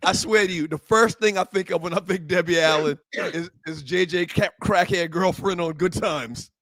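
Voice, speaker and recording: A man's voice: high-pitched, moderate pace, fairly bright tone, dry recording. A man co-hosting a casual morning talk show, bantering and telling jokey stories. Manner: joking, storytelling banter. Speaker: co-host.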